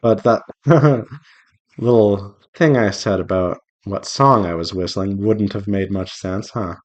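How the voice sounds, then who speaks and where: A man's voice: lowish voice, slow pace, a little mellow, highs cut off. A man chatting casually and playfully to himself and his viewers while he draws, rambling along as an indie game developer on a devlog. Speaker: visual novel developer streaming while drawing.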